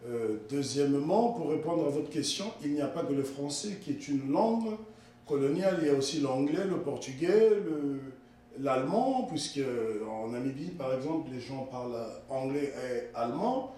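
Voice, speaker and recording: Congolese guy explaining things calmly but firmly, faint hiss behind, sounds far from the mic. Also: mid-range voice. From Republic of the Congo. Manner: measured, corrective, gaining force when arguing. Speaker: guest, historiographer and writer. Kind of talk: interview answers. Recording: echoey room, mic far off, faint noise.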